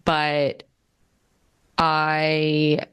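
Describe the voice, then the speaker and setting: Low, smooth-voiced woman chatting. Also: monotone, extremely slow, fairly bright tone. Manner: earnest, reflective, many fillers. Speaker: podcast co-host. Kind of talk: casual chat.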